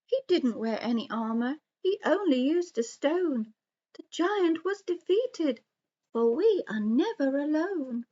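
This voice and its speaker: A woman's voice: high-pitched, animated, slow pace, warm tone, lo-fi sound, pitched above the performer's own voice. A woman voicing a wise old male owl in a children's storybook, reassuring and earnest, with a singsong rhyming delivery. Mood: stirring, reassuring.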